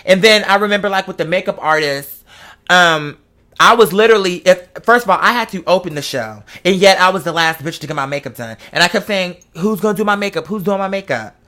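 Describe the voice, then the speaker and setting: Bright, sassy man chatting casually. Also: sits high, lively intonation, brisk. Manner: sassy, campy, slangy and emphatic. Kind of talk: casual monologue, chatty rant.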